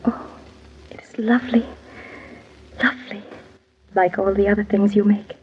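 An actress playing a dreamy, enraptured young bride-to-be in an old-time radio drama, with a wistful, fervent delivery. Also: female voice, medium pitch, fairly even intonation, normal speed, close to an everyday voice. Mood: admiring. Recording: muffled old radio recording, faint noise.

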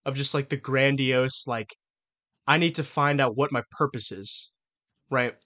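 A man's voice: mid-range voice, a little slow, bright, forceful tone. A man co-hosting a podcast, arguing in a rambling way, full of filler words and swearing. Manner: argumentative, emphatic. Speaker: podcast co-host. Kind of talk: casual podcast debate.

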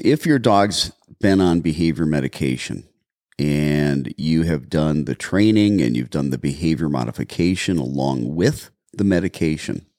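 A man. He explains things emphatically, with lots of ums and a lively rise and fall in his pitch. The sound is dry and close. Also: no room sound. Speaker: dog trainer, podcast co-host. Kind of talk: casual podcast chat.

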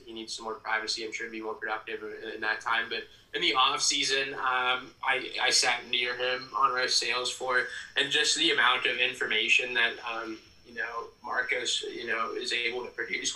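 A man's voice: mid-range voice, sharp tone. Echoey room, slightly off-mic, young American man, earnest, conversational, casual interview style. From Ohio, United States. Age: young adult. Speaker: podcast guest, PA announcer and ticket sales executive. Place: room at home, audio call. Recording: roomy, slightly distant mic.

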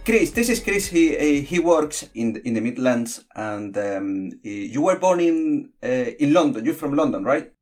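A man's voice: mid-range voice, very animated, normal speed, few pauses, darkish tone, faint music behind. A man hosting an interview podcast, reading an earnest intro, then asking probing questions in casual chat. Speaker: podcast host.

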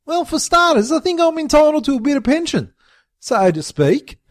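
A man puts on a high, loud, swearing Australian crook with a busted leg, bossing everyone about. His voice jumps sharply up and down as he yells. It sounds dry and close. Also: big pitch swings, moderate pace, no room sound. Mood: bossy yelling. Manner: shouting, swearing, bossy. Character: blustering crooked older bogan man with bad leg.

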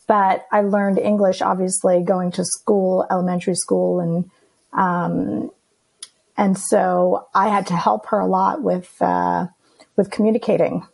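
Hesitant New woman with a gravelly edge, a guest on a casual interview podcast. Her was Greek, and she fills her speech with ums and uhs. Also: lowish voice, little pitch movement, measured pace, a little mellow, low-quality recording. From Queens, New York. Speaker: guest, personal stylist.

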